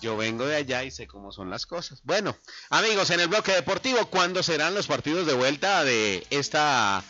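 A man hosting sports radio, his voice bright and forceful and set a little high for a man. He jokes and presses his co-host with questions. It comes through a dull lo-fi broadcast with faint music underneath. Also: big pitch swings, moderate pace. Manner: joking, quizzes co-host. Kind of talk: lively sports radio banter.

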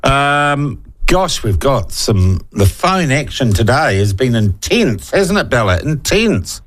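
Talkback host: New man, blunt, opinionated, brisk with callers. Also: mid-range voice, big pitch swings. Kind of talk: talkback radio hosting.

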